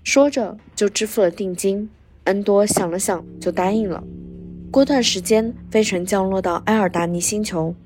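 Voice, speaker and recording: A woman with a mid-pitched voice narrates a scripted story recap as a podcast host. Faint music plays behind her.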